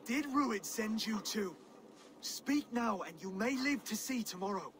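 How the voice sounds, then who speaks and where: A man's voice: high-pitched, lively intonation, unhurried, noisy background. An actor playing an earnest, principled young Saxon king in a video game, speaking formally and with resolve. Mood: stern. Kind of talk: dramatic video game acting.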